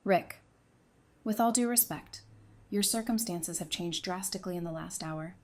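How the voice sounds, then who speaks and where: An actress playing a resolute, composed widowed survivor of an apocalypse in an audio drama, speaking in a measured, earnest way. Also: female voice, on the low side, a little mellow, a little hiss or hum, close to an everyday voice. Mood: urgent warning.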